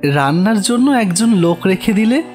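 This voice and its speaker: A man's voice: high voice, animated, normal speed, darkish tone, faint music behind, voice pushed up. A man voicing a gaunt, sickly, doomed man who confesses his guilt solemnly and politely in a horror story. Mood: haunted, recounting. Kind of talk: horror audio story character acting.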